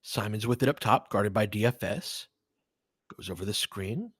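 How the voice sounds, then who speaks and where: A man's voice: fairly low pitch, moderate pace, fairly bright tone, breathy edge, dry recording, studio quality. A man doing casual live basketball play-by-play in short, clipped phrases, with informal asides as a fan would make. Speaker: play-by-play commentator and streamer.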